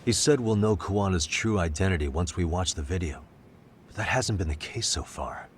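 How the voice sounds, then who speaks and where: An actor playing a calm, sharp detective in an English game dub, measured and thoughtful as he reasons through a case. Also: sits low, a little hiss or hum. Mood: pensive. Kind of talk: English video game dub cutscene acting.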